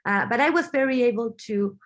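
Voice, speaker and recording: A woman in her thirties from Argentina, giving an earnest online talk over a video call, often restarting her phrases and filling pauses. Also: a little high, expressive. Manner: earnest, halting, many restarts and fillers. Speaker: webinar speaker. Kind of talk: online presentation.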